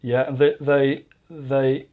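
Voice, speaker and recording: A man co-hosting a casual podcast who talks in a halting way and often repeats his words. He laughs easily as he chats. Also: medium pitch, little pitch movement, narrow, tinny audio. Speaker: podcast co-host. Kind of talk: casual banter.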